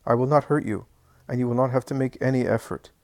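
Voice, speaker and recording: A man reading a calm, gentle teacher. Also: medium pitch, fast talker, no echo, natural voice. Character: calm, kind, resolute male teacher.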